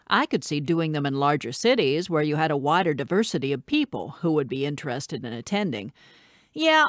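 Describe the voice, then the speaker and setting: Audiobook: woman reader as bookish twenty-something female teacher, wry and candid, animated pitch, dry close sound. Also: dry recording. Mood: wry, matter-of-fact. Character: bookish single schoolteacher in her twenties, wry, candid storyteller. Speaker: audiobook narrator. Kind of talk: scripted audiobook narration.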